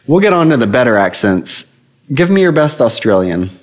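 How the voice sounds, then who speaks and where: Brief: playful co-host in his 30s, laughing, animated. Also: medium pitch, moderate pace. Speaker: podcast co-host. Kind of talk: casual chat with a child.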